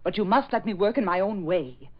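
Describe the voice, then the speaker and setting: A woman's voice: mid-range voice, lively intonation, brisk, lightly acted. An actress playing a seductive, coaxing female Nazi spy and glamorous stage star in a 1940s radio drama. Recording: muffled vintage radio drama recording.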